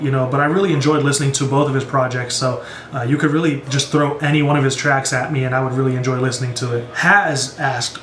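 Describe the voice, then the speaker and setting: A man's voice: fairly high pitch, fairly bright tone. A young man in his twenties with an American accent, answering viewer questions casually and enthusiastically as a video host. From born in Brazil, grew up in the United States from age three. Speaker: YouTube music reaction host. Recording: roomy echo with music behind. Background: background music.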